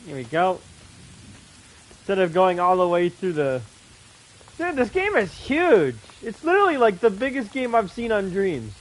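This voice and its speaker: A man's voice: high voice, wildly swooping pitch, moderate pace, pauses often, rough recording. A man giving casual, informal gameplay commentary as he plays, reacting aloud to the game. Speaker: game streamer, player.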